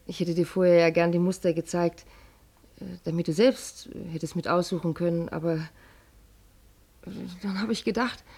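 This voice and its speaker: Soft, husky, dark-voiced anxious mother played by a woman. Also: fairly low pitch, close to an everyday voice. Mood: tender, hesitant. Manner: hesitant, tender, halting speech. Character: anxious, devoted working-class mother. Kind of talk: German radio play acting.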